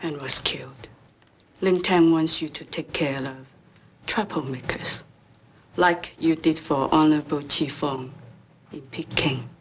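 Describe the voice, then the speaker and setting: An actress playing a cool, stern go-between for a crime boss in an old film, husky breathy voice and clipped, formal broken English. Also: female voice, deep voice, measured pace, lo-fi sound, slight background noise. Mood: grave, guarded.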